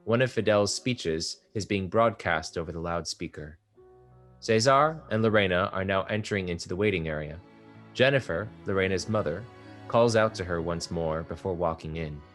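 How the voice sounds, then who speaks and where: A man calmly reading stage directions aloud at a play table read. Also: low voice, moderate pace, soft background music. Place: art exhibition theater area.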